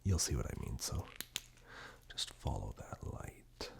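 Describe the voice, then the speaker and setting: A man with an extremely low, crackling voice performs ASMR roleplay. He drifts between a murmur and a breathy whisper, close to the microphone. His delivery is unhurried and reassuring, as if looking after the listener one to one. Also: sing-song. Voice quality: breathy whisper mixed with very deep, gravelly murmur. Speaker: ASMR performer playing caregiver and eye examiner roles. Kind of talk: soft-spoken ASMR roleplay, half whispered. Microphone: close binaural microphone.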